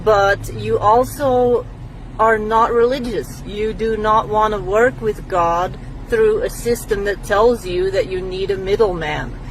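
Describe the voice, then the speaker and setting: A woman's voice: medium pitch, measured pace, slight background noise, low-quality recording. A woman from Belgium, speaking casually to camera in a reflective, explanatory way as a spiritual teacher. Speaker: spiritual teacher answering a follower's question.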